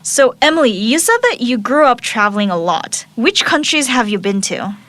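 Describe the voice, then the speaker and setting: A woman hosts an interview podcast in a slightly high voice. She reads her introduction and questions politely from notes. The sound is dry, with no room echo. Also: very animated, normal speed, a bit bright. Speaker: podcast host.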